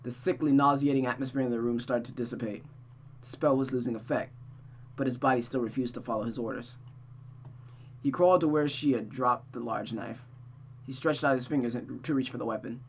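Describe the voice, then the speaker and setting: A woman's voice: extremely low pitch, steady tone, brisk, a little hiss or hum. A woman reading a visual novel aloud as host, narrating the story with casual asides. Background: music.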